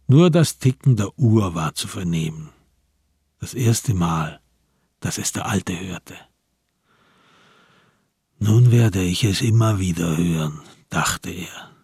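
A man as the sober narrator of a radio crime drama, telling the story in measured literary prose. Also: low voice, animated, a little mellow, breathy edge, dry recording, studio quality, heavily acted.

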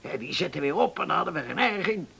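An actor playing a garrulous old island man in his seventies, reminiscing in a halting, folksy way in a radio play. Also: on the high side, very animated, gravelly, bright, forceful tone, breathy edge, exaggerated voice. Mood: animated storytelling. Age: 70s. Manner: folksy, halting, repeats himself, verbal tics. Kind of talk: radio drama acting.

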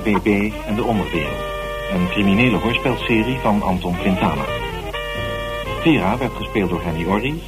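Lively low-pitched male announcer. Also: normal speed, rough recording. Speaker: radio announcer. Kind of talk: scripted closing credits. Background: loud guitar music.

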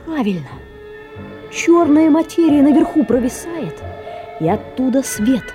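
An actress playing a curious, excitable schoolboy in a children's radio play, eager and boyish in manner. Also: female voice, sits high, a little slow, faint music behind. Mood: curious, excited. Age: adult playing a child.

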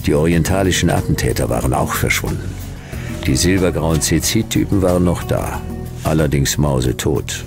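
Very deep, gravelly hard-boiled detective. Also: male voice, animated, moderate pace, over music, full character voice. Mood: terse, grim. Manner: laconic, terse, dry. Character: weary, hard-boiled male private detective narrator. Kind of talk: noir radio play narration and dialogue.

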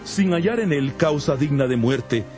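An actor playing the apostle Paul as a fervent, solemn, declamatory preacher in a dramatized audio Bible. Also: male voice, on the high side, expressive, moderate pace, darkish tone, lightly acted. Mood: solemn preaching. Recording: music under the voice.

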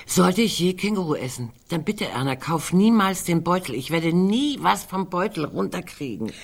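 A woman's voice: on the low side, lively intonation, moderate pace, vocal fry, husky, full character voice. An actress playing a wry, musing, fussy older woman in a literary radio drama, digressing as she talks.

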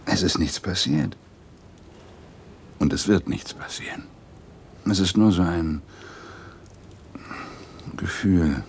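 A very deep-voiced, brooding male detective in a radio drama. He is exhausted and obsessed, speaks slowly and intimately, and there is clear noise of rain and ambience around him. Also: heavily acted. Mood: subdued, earnest. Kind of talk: naturalistic German radio drama acting.

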